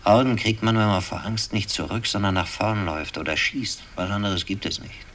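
An actor playing a bitter, cynical decorated soldier in a sardonic, brooding radio drama monologue, on a noisy old recording. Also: male voice, on the low side, steady tone, normal speed, a bit bright, lightly acted. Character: bitter, cynical war-decorated soldier, lover of a young woman. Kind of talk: 1960s German radio drama acting.

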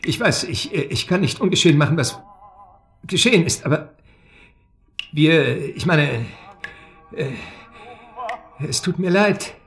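A man's voice: fairly high pitch, animated, soft background music. Cornered, stammering male seducer. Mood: shaken, pleading. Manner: stammering, halting, defensive. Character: evasive, frightened male seducer, cornered and apologetic. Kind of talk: German radio drama acting.

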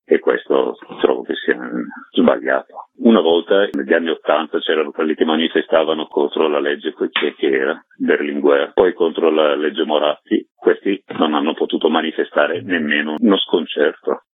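Very deep, husky-voiced man on radio. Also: fairly even intonation, moderate pace. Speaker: film director, interview guest. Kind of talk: spontaneous radio interview answers. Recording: dull lo-fi line with roomy echo.

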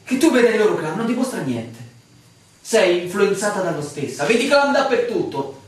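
An actor in a radio drama playing a frustrated, suspicious newcomer to a village, theatrical and full of insistent questions. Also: male voice, sits high, very animated, normal speed. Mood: agitated, suspicious. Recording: echoey, distant, noisy room sound.

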